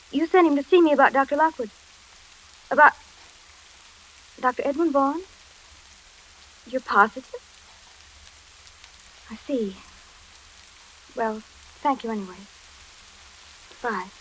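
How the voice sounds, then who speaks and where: A woman's voice: high-pitched, fairly bright tone, full character voice, low-quality recording. An actress playing an earnest, anxious but determined young woman in 1940s radio drama style. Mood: puzzled, uneasy. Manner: earnest, hesitant then insistent.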